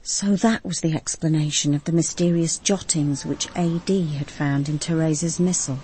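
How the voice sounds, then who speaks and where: A woman's voice: low voice, fairly even intonation, moderate pace, warm tone, a little hiss or hum, lightly acted, poor audio. An actress playing a composed, probing female detective in a first-person radio crime drama.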